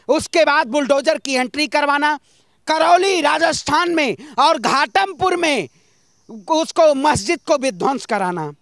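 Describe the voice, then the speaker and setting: Male interviewee from northern India, notably high voice, dry sound, fiery rhetorical political delivery with punchy repetition. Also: fairly bright tone, dry recording. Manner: emphatic, rhetorical, with rhyming slogans and repetition. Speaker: interviewee giving political opinions. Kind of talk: impassioned political street speech.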